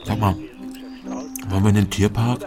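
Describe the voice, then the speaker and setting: An actor playing a troubled, gentle loner in a radio crime drama, speaking in an understated, slightly hesitant way. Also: male voice, fairly low pitch, flat delivery, normal speed, music in the background, close to an everyday voice. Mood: gentle, reassuring. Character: awkward, troubled loner man, gentle with a child. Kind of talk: German radio crime drama acting and narration.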